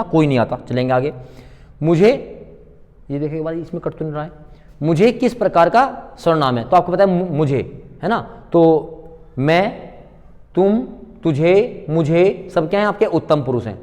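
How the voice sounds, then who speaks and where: A man's voice: on the high side, darkish tone. A man teaching a grammar lesson in an informal, instructional way, repeating key points and putting questions to students that he answers himself. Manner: informal, familiar address to students. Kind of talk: classroom grammar lecture with worked examples. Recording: long hall-like reverb on voice.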